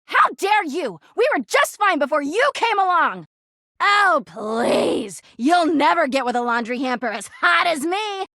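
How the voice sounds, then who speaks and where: High-pitched, melodramatic, clingy woman. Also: very animated, unhurried, pushed and bright, no room sound, studio quality, exaggerated voice. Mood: furious, hurt. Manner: melodramatic, needy, possessive, passive-aggressive. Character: clingy, volatile, lovesick female living laundry hamper. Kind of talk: video game character acting.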